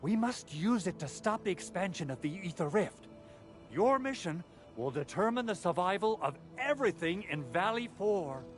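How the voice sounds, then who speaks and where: An actor playing an eager, earnest male engineer in a video game, explaining things in an animated way, with music behind him. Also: sits high, moderate pace. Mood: instructive, earnest.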